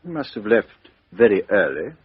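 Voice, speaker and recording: An actor playing a businesslike, methodical British police inspector in a radio drama, putting questions with small hesitant lead-ins. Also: male voice, fairly low pitch, sing-song, normal speed, breathy edge, no echo, heavily acted. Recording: dull, lo-fi radio drama recording.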